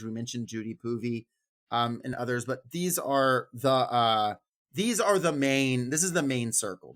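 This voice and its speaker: A man's voice: medium pitch, fairly bright tone. A man co-hosting a podcast in casual book discussion, jokey and profane, often slipping into acted-out quotes. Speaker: podcast co-host.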